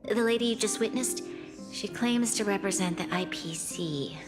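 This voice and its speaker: A woman's voice: mid-range voice, moderate pace, lightly acted. A woman voicing a dignified, burdened young ruler in a video game, formal, grave and sincere. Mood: grave, troubled. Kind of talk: scripted video game dialogue. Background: game music.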